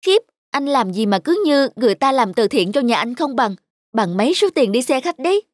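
Grumbling, penny-pinching young wife. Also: female voice, a little high, sing-song, brisk, no room sound, clean studio sound. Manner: complaining. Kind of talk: cartoon voice acting. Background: music.

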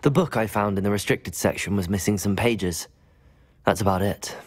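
A man playing a polite, helpful young student wizard in a video game, courteous and measured. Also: fairly low pitch, natural voice. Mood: sympathetic, guarded. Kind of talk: video game dialogue acting.

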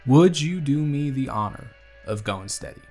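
A man's voice: medium pitch, expressive, normal speed, a little mellow. A man with a Southern US accent playing an earnest, charming young bartender in love in an intimate audio roleplay. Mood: nervous, confessing. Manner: earnest, conversational, sweet-talking. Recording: music playing under the voice. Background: acoustic music.

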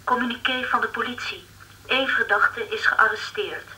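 An actress playing a businesslike secretary in a radio drama, making formal announcements. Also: female voice, on the high side, fairly even intonation, normal speed, pushed and bright, a little hiss or hum, low-quality recording. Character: businesslike female switchboard secretary.

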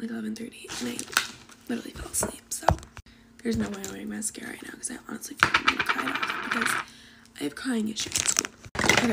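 A teenage girl chatting casually while doing her makeup, talking in a soft half-whisper and filling her sentences with "like", recorded on a phone at arm's length in her bedroom. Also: on the high side, gravelly. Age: teens. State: losing her voice from coughing. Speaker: vlogger. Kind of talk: casual get-ready-with-me chat. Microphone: phone camera at arm's length.

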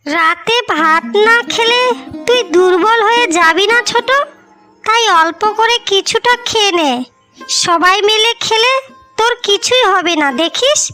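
Woman doing worried big sis in a cartoon, really high bright voice, tender and coaxing, music under it. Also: measured pace, heavily acted. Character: caring, worried elder sister, young woman. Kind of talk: Bengali cartoon fairy-tale dialogue. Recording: music bed behind the voice.

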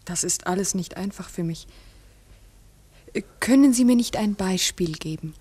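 An actress playing an earnest village schoolteacher in a 1960s radio drama, asking careful, polite, probing questions. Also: female voice, medium pitch, animated, unhurried, a little mellow, breathy edge. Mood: careful, intrigued.

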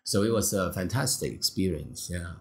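A man's voice: deep voice, lively intonation, normal speed. A middle-aged man from Hong Kong whose first language is Cantonese, a film director talking casually in an interview, thoughtful with many fillers and restarts.

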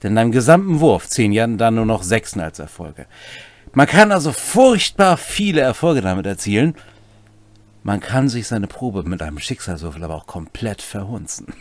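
A man's voice: on the low side, sing-song, moderate pace, dry recording. A middle-aged man co-hosting a semi-scripted explainer of tabletop game rules, with casual asides, in a room at home. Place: small home room, indoors.

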